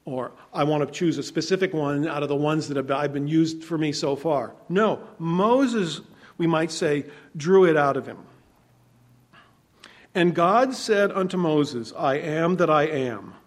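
A man preaching a sermon, reading scripture aloud and explaining it conversationally, shifting between loud emphasis and quiet asides. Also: a little high, a little slow. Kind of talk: sermon, Bible teaching.

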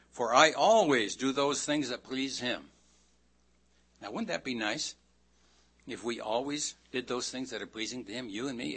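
A man giving a sermon in a folksy way, with dry self-deprecating asides, and reading scripture aloud at a slow, deliberate pace. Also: medium pitch, bright, forceful tone. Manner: reads scripture aloud slowly. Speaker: preacher.

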